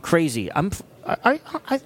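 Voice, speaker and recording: A man's voice: fairly high pitch, wildly swooping pitch, normal speed, a bit bright. A man in his forties co-hosting a music podcast, joking and rambling in self-mocking casual chat. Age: 40s. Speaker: co-host and guitarist.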